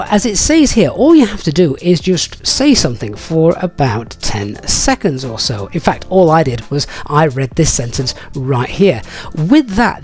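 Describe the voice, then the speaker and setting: Higher-pitched man narrating a software tutorial. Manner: explanatory, conversational. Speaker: video presenter reviewing flight simulator software.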